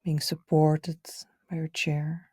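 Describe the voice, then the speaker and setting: A woman leading a guided meditation in a gentle, soothing, unhurried way, her soft voice often trailing off toward a whisper. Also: sits low, level tone, no room sound, clean studio sound. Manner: soothing, gentle, unhurried, with audible sighs and breathy pauses. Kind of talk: guided meditation, live session.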